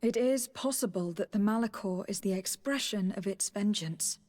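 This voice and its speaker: A woman's voice: medium pitch, normal speed, darkish tone, natural voice. An actress playing a loyal, composed noblewoman diplomat in a video game, speaking formally and sincerely. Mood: earnest. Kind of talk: dramatic video game acting. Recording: long hall-like reverb.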